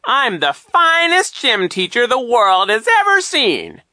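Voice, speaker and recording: A wheezy, villainous man posing as a gym coach for a children's story. His delivery is drawn out and smarmy, swinging wildly in pitch with a piercing, forceful edge. He fawns and brags in equal measure. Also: wildly swooping pitch, dry recording, clean studio sound, heavily acted. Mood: fawning, boastful. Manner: drawn-out, boastful, fawning.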